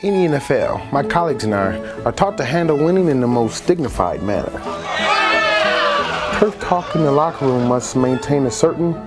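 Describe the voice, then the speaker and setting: A man speaks as a football player presenting a tongue-in-cheek piece. His voice sits at a middle pitch and swings expressively through pompous, formal phrasing. Loud music plays behind him. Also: big pitch swings, moderate pace. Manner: mock-dignified, flowery wording.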